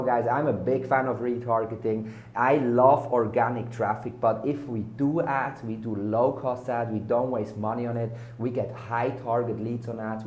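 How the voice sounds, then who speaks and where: A man's voice: mid-range voice, a little mellow. Hyped German-accented man in an echoey room. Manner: hyped, salesy, repeats buzzwords. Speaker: online marketing vlogger. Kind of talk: casual direct-to-camera sales talk.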